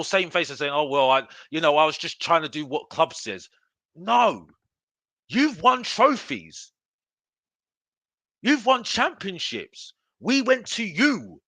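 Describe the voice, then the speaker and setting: A man with a bright, forceful voice that swings widely in pitch delivers an opinionated sports fan rant. He hammers phrases by repeating them and throws out rhetorical questions. The sound is close and dry. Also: no room sound. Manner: emphatic, repetitive, rhetorical questions; addresses listeners as bro and ladies and gentlemen. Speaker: football fan channel host.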